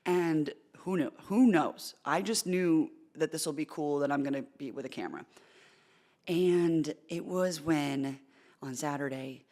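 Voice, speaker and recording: A woman in her thirties with a deep voice, a sportscaster telling career stories in a casual interview, chatty with lots of restarts. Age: 30s. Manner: chatty, animated storytelling with fillers and restarts. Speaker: sportscaster guest.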